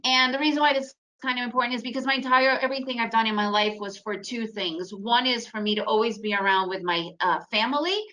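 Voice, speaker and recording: A woman in her forties presents to an online audience in a chatty, storytelling way, often checking in with a quick "right?". Her voice sits a little high and sounds bright and forceful. She grew up in the United States and her first language is Russian. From Ukraine, raised in the United States. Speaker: trading webinar presenter. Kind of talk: casual presentation.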